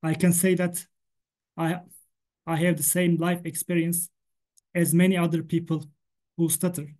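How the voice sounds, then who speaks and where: A man with a Turkish accent gives a prepared presentation in English, stuttering openly with repeated words and sounds. His delivery is slow and flat. The tone is dark and mellow. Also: on the high side. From Turkey. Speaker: stuttering association representative.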